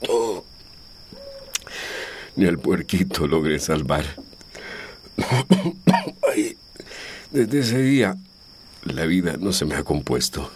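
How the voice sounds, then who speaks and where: An actor playing an injured, weary, humble countryman in a radio drama, halting and sorrowful, with a Latin American accent. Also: extremely low pitch, expressive, darkish tone, a little hiss or hum, exaggerated voice. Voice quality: husky, with coughing and groaning breath.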